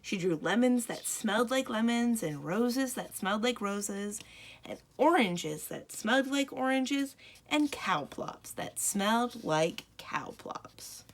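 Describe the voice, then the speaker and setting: A woman reading a children's picture book aloud expressively as a storyteller, with dramatic emphasis and drawn-out words. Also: on the high side, lively intonation. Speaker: library storyteller.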